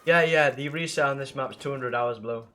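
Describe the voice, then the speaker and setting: A young man with a blocked nose and rough throat, chatting casually and swearing with viewers while playing a game. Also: mid-range voice, normal speed, sharp tone, slight background noise. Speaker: livestreamer playing a zombie game.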